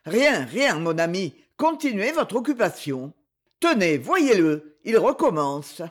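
A woman reading aloud and voicing a stout, blustering old male general who shouts in panic and fury. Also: medium pitch, very animated, slow pace. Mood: placating.

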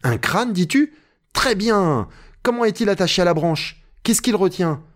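A man voicing an agitated, imperious gentleman treasure hunter who calls out orders in a storybook. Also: sits high, lively intonation, a little slow, voice pushed up. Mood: eager, insistent.

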